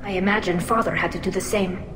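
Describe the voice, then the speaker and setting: A woman's voice: medium pitch, fairly even intonation, fast talker, very noisy, close to an everyday voice. An actress playing an earnest, loyal young alien crew member in a video game, polite in her speech. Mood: troubled. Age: young adult. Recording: echoey hall with music behind.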